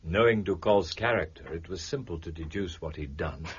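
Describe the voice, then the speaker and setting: Gentleman detective for radio drama: lowish, very husky, richly modulated, shrewd, wry and pompous. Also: lively intonation, normal speed, exaggerated voice. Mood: smug, explanatory. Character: shrewd, self-satisfied, theatrical French gentleman detective. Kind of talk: audio drama acting. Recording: dull, muffled audio.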